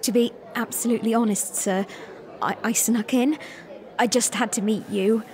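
A woman's voice: a little high, steady tone, normal speed, darkish tone, natural voice. A woman playing an eager, plucky young journalist, polite and earnest, in a radio drama. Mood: flustered, eager. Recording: music and crowd behind.

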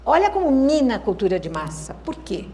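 A Brazilian woman speaking to students in a roomy hall, her fairly high voice rising and falling with animation. She pauses and restarts as she works her ideas out, and often turns to the audience with questions. Faint noise sits under the room echo. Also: sing-song, mellow. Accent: Brazilian Portuguese. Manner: thoughtful, hesitant restarts, rhetorical questions to the audience. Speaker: lecturer. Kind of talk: university lecture. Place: lecture hall.

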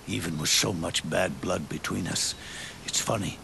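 An actor playing an aging, weary, scarred old soldier, grave and reflective, with a deep, gravelly, breathy growl and a slow, halting, solemn delivery in a video game cutscene. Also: male voice, background noise. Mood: wistful, pitying. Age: older. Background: orchestral music.

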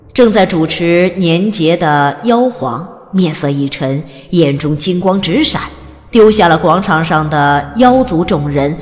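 A woman narrating an audiobook in a calm, even storytelling manner. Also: lowish voice. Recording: long reverb, soft music behind.

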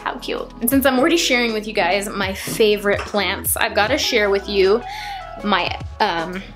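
A woman's voice: medium pitch, normal speed, over music. Chatty young woman in an echoey home. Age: young adult. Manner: chatty and upbeat, with frequent fillers. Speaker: home vlogger. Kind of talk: casual house tour vlog. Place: small rooms of a house, indoors. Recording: echoey small rooms.

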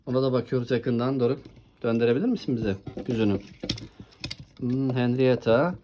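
Very low-voiced woman hosting toy showcase. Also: steady tone, brisk, background noise. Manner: encouraging, often asks questions. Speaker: host presenting toy trains with a child. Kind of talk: casual toy showcase chat.

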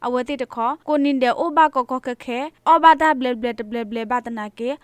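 Female newsreader, high and smooth voice, scripted radio delivery. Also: fairly quick, dry recording. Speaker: radio news reader. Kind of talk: scripted news reading.

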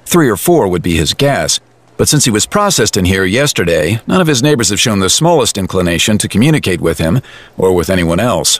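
A man's voice: on the low side, very animated, fairly quick, no echo. A man narrating a dramatised audiobook in a wry, colloquial storytelling manner.

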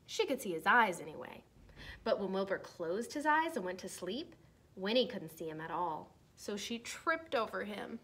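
Young woman, children's storybook reading, expressive delivery, deliberate phrasing, medium pitch. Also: slightly rough. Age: young adult. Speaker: teacher reading a picture book aloud to children. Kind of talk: storybook read-aloud. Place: small decorated room indoors.

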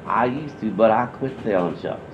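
A man acting a polite, unflappable, deadpan train conductor in a radio comedy. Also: on the low side, brisk, noisy background, natural voice. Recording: muffled, dull podcast recording.

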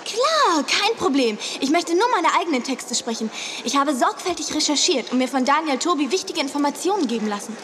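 An actress playing a touchy, vain young would-be TV announcer in a children's radio play. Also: female voice, high-pitched, sing-song, a little fast, a bit bright. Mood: eager. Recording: noisy old cassette radio play.

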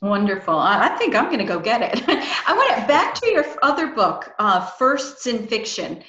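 Roomy, higher-voiced woman interviewing. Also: big pitch swings, moderate pace, sharp tone. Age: middle-aged. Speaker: interviewer, host. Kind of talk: casual conversational interviewing. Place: small room, indoors. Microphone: video call, at desk distance. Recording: roomy, slightly dull video-call audio.

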